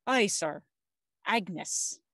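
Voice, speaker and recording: An actress playing a secretive housekeeper aunt in an audio drama, stern and dismissive yet anxiously deferential. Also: female voice, medium pitch, lively intonation, drawn-out words, pushed and bright, no echo, clean studio sound, full character voice. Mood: anxious deference.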